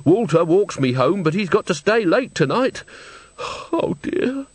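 A man's voice: high voice, very animated, a little slow, breathy edge, no room sound, pitched above the performer's own voice, exaggerated voice. A man reading a storybook, voicing a frightened, tearful little old woman who speaks in a fretful, plaintive, sniffling way.

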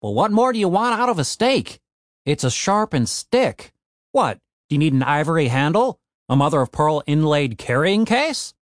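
A man's voice: a little high, big pitch swings, moderate pace, no echo, clean studio sound. A man voicing a blunt, sarcastic, cynical male boss in an audiobook story. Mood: scornful. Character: gruff, cynical, foul-mouthed male boss, exasperated.

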